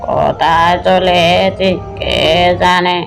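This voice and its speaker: A man's voice: high voice, steady tone, takes it slowly, creaky, full character voice. A man voicing a tipsy, muddled, easily scared fellow who rambles to himself in a horror cartoon. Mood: groggy, grumbling. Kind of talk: Bengali horror cartoon voice acting. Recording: music under the voice.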